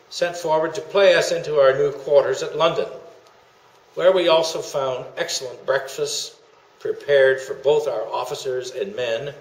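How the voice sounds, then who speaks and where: An older man voicing a formal 19th-century British army officer in a measured dramatic memoir reading. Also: medium pitch, natural voice. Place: indoor meeting room. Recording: echoey room.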